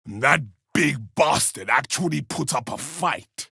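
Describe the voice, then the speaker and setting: An actor playing a brash, zealous, battle-hungry space marine with a rough gravelly voice in a video game. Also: male voice, on the high side, animated, slow pace, bright, forceful tone, no room sound, studio quality, exaggerated voice. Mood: grim satisfaction. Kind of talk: video game combat barks.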